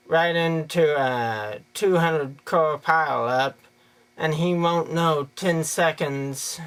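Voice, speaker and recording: A man's voice: a little high, a bit bright. An American man giving an unscripted opinion monologue in a rambling, folksy, plain-spoken way. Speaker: video creator giving an opinion.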